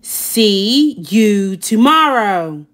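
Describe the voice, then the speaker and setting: A woman's voice: medium pitch, animated, extremely slow, fairly bright tone. A woman voicing a bossy, boastful young boy in a storybook, with brash, gloating delivery.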